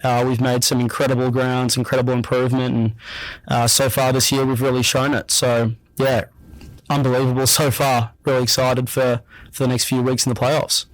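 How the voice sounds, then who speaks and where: Young Australian guy as a relaxed radio guest, average pitch, close and dry. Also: normal speed, no room sound, rough recording. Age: young adult. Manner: relaxed, easygoing, repeats himself with frequent fillers. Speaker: guest athlete. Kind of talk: casual radio interview.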